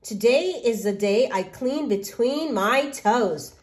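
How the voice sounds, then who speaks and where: A pampered storybook cat, voiced by a woman reader, slow and prim. It sounds fastidious and disdainful, too vain and lazy to help anyone. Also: on the high side, expressive.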